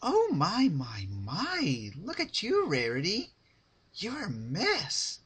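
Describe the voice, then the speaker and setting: A man's voice: sits high, wildly swooping pitch, slow, a bit bright, breathy edge, pitched above the performer's own voice, full character voice. Man putting on a squealing, manic girl cartoon pony. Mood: mocking fussing. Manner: sing-song, gushing mock sweetness. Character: manic, gleefully cruel young female cartoon pony.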